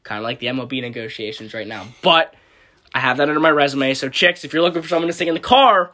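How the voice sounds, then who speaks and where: A man's voice: mid-range voice, fast, bright, forceful tone. A young American man chatting casually with friends and telling stories in a slangy, joking way. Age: young adult. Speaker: podcast co-host. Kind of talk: casual chat and anecdotes with friends.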